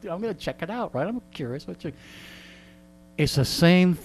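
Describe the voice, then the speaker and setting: A man's voice: on the high side, lively intonation, moderate pace, husky. A man telling stories in casual comedic banter, joking and mock-indignant, acting out bits of dialogue. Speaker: radio host being interviewed.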